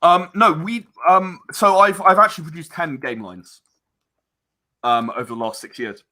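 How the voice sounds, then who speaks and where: A man speaking as a guest in a casual podcast interview, halting and rambling as he explains. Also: on the high side, pushed and bright. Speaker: interview guest, audio drama producer.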